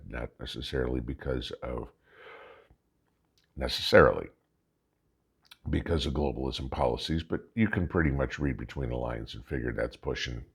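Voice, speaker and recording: An American man hosting a vlog with casual political commentary, talking in a folksy, emphatic, halting way and posing rhetorical questions. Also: a bass voice, gravelly, breathy edge. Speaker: host of a preparedness vlog.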